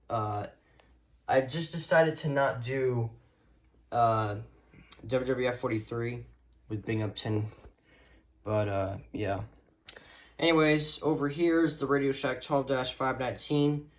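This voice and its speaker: Low-key man giving a casual show-and-tell. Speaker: vlogger showing a weather radio collection. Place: indoors, rooms at home.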